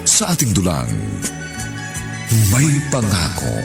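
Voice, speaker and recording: A Filipino man announces a radio drama theatrically, low and very slow, with huge swoops in pitch. Music plays under his voice. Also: huge pitch swings. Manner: drawn-out, theatrical.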